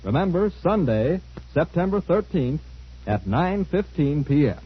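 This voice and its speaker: A man's voice: a little high, very animated, a little slow. A man giving a formal, emphatic scripted announcement as a 1940s radio announcer. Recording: dull old radio recording, prominent music.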